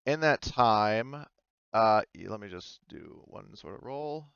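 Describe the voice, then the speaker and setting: A man narrating a tabletop game as game master, in a halting, casual, conversational way. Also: fairly low pitch, expressive, creaky, a bit bright, muffled recording, a little hiss or hum. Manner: halting, conversational, mild swearing.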